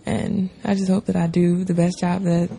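A young adult speaker talks earnestly about hoping to help students, in an almost monotone, gravelly voice. The tone is very dark and husky. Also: mid-range voice, moderate pace, slight background noise. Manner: earnest, hopeful, uses "you know". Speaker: new school advisor.

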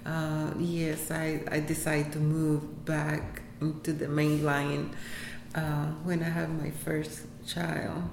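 A woman from Puerto Rico whose first language is Spanish speaks English with a Puerto Rican accent, answering interview questions in a halting way with many fillers and laughs. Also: low-pitched, monotone, gravelly, a little mellow. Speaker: interviewee, health center employee. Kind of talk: casual interview. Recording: echoey room, faint background noise.

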